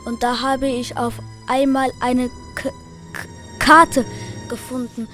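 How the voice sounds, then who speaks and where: A woman's voice: high-pitched, flat delivery, takes it slowly, pushed and bright, faint music behind, full character voice. A young female amateur playing a cursed forest figure who stutters heavily in a school radio play. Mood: halting, solemn. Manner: heavy stutter on first syllables. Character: stuttering cursed forest spirit, slow and solemn helper.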